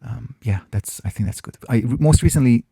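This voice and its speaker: A man whose first language is Farsi, hosting a casual Q&A and answering viewer questions in a thoughtful way, often pausing and restarting his phrases. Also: medium pitch, creaky, warm tone, husky, no room sound, studio quality. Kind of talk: casual reflective Q&A monologue.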